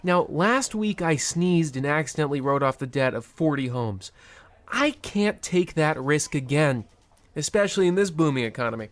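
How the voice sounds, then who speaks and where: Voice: mid-range voice, lively intonation, normal speed, no echo, natural voice. A performer in an old-time radio comedy playing a callous, self-absorbed banker who talks in a pompous, patronizing, sarcastic way. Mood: pompous, condescending.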